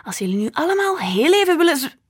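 A woman's voice: high-pitched, sing-song, quick pace, pitched above the performer's own voice. A woman voicing a stressed, short-tempered mother in an audiobook, tense and snapping. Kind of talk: audiobook reading.

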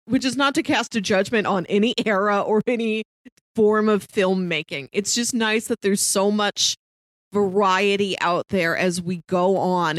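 A woman's voice: medium pitch, no echo. Woman chatting in a dry, close recording. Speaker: podcast co-host. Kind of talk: casual film discussion.

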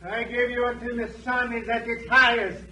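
An actor playing a proud, threatening young warrior in a radio western, with stilted, formal, declamatory delivery. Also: male voice, very high-pitched, level tone, moderate pace, sharp tone, full character voice. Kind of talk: 1950s radio western acting. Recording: distant, echoey old radio recording, faint noise.

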